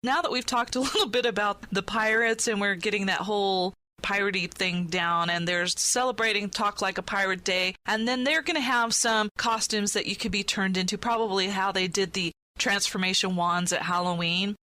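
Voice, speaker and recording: A woman's voice: medium pitch, steady tone, normal speed, few pauses, sharp tone. A woman hosting a podcast, chatting casually. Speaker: podcast host. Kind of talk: casual chat.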